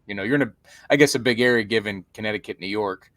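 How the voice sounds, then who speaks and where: Low-pitched male co-host, relaxed and halting, dry recording. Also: dry recording. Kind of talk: casual interview chat.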